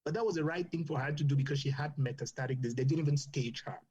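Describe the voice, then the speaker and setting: A man's voice: on the high side, racing, darkish tone, slightly rough. Doctor, male, earnest podcast guest; muffled lo-fi recording. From Cameroon. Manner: earnest, advocating, with frequent restarts. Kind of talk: earnest explanatory podcast talk.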